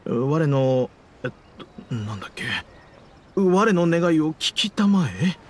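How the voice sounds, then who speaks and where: A man's voice: on the high side, sing-song, takes it slowly, a little hiss or hum. A man playing a stubborn, theatrical, pleading struggling writer in an anime game. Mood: halting, unsure. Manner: theatrical, exasperated, insistent. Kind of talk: Japanese anime game voice acting. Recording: background music under the voice.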